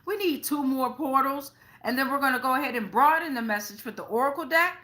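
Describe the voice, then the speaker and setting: A woman with a high, bright, forward voice hosts a tarot reading for online viewers. She speaks off the cuff in colloquial language, often checking in with short tags and swearing freely. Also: a little fast. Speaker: host, tarot reader.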